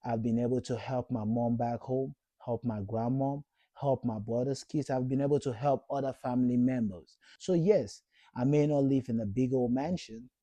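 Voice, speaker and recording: A man's voice: medium pitch, normal speed, warm tone, dry recording. A man in his thirties from Cameroon, giving an earnest, encouraging motivational talk to camera and repeating points for emphasis. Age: 30s. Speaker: vlogger.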